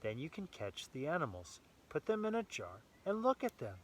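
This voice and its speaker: A man's voice: fairly high pitch, huge pitch swings, normal speed, a little hiss or hum, voice pushed up. A man voicing a kindly, helpful animal friend in a storybook, encouraging and instructive.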